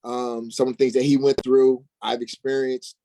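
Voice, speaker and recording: A man's voice: medium pitch, level tone, normal speed, warm tone, rough recording. A man speaking as an interview guest and stage actor, telling his experiences in a casual, conversational way.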